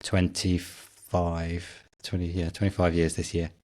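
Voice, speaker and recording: Middle-aged British man, lowish voice. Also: monotone, unhurried, a little mellow. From Britain. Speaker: podcast guest, tech founder. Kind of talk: casual anecdotal chat.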